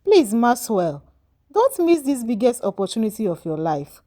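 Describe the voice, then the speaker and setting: Woman reading as a persuasive male friend, animated. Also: a little high, normal speed. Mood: insistent. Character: pragmatic, persuasive male friend, cynical about women. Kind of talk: storybook dialogue.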